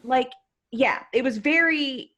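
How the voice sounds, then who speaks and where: An American woman in her thirties, telling a comic story as a podcast guest in a rambling, animated way. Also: high-pitched, slow. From United States. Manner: animated, rambling, many fillers.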